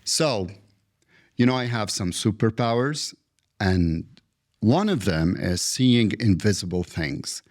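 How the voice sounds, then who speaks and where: A man's voice: lowish voice, animated, darkish tone. A man hosting a podcast interview, reading a formal introduction and asking questions. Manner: slow, deliberate delivery. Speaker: podcast host.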